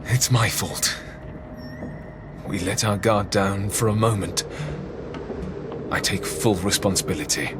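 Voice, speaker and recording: Sober, dutiful guard captain. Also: male voice, on the low side, slow, pauses often. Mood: remorseful. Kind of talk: English video game voice acting. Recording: music behind the voice. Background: game music.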